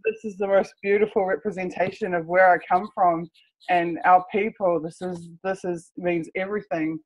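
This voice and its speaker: A woman's voice: lowish voice, fairly even intonation, moderate pace, darkish tone, poor audio. A woman from New Zealand with a strong New Zealand accent, interviewing in a casual, earnest way that turns emotional and repeats words.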